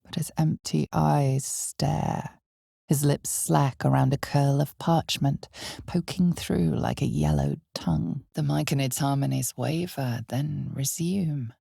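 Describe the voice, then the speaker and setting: A woman voicing the grave, knowing narrator of a dark fantasy game, speaking deliberately in a husky, breathy tone with an ominous air. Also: low voice, no room sound, studio quality, exaggerated voice. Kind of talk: dramatic second-person fantasy game narration.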